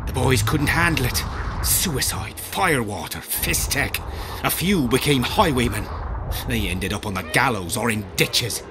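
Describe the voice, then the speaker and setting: A gruff, embittered old campaigner from a fantasy video game. He recounts war grimly and coarsely at a middling pitch. Steady noise sits clearly behind his voice. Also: a little slow. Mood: bitter. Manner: grim, coarse-tongued storytelling. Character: embittered veteran soldier, gruff survivor of a lost regiment. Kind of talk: dramatic video game acting.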